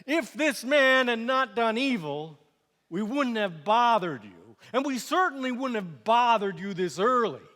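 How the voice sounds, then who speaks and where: Angry accuser from a mob, done by male storyteller: shrill, loud, insistent, high pitch, stage monologue, long reverb. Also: big pitch swings, a little slow, full character voice. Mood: indignant. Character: hostile, indignant priests and screaming mob of accusers. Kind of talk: quoted part in dramatic monologue. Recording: echoey hall with long reverb.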